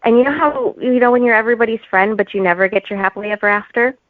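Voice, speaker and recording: A woman's voice: on the high side, steady tone, very fast, no echo. Informal woman guest, thin lo-fi call sound. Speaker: author guest. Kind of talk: casual interview chat.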